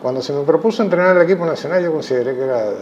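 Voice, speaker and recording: A Cuban man, a chess coach, giving thoughtful interview answers in a measured way with frequent pauses. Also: on the high side, darkish tone. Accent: Cuban Spanish. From Cuba. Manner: measured, thoughtful, many hesitations. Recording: muffled audio with clear background noise.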